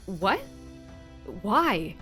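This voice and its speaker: A woman's voice: medium pitch, big pitch swings, extremely slow, pushed and bright, faint music behind, exaggerated voice. A woman playing an eager, earnest young new superhero in an audio drama. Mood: shaken, confused. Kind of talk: scripted audio drama acting.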